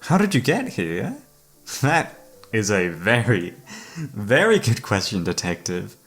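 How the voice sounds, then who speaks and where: Guy doing a flirty obsessive kidnapper for asmr roleplay, gravelly and swoopy, mock polite, music underneath. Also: fairly low pitch, huge pitch swings, takes it slowly, a bit bright, heavily acted. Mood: amused, gloating. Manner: teasing, mock-polite, theatrical, savouring words. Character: obsessive, flirtatious male serial killer holding a detective captive.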